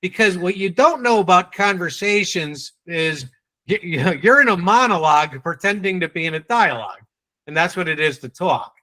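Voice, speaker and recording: Brisk New England trainer, bright voice. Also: male voice, sits high, lively intonation. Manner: brisk, teasing, quizzes the audience. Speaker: sales trainer. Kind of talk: live online training session.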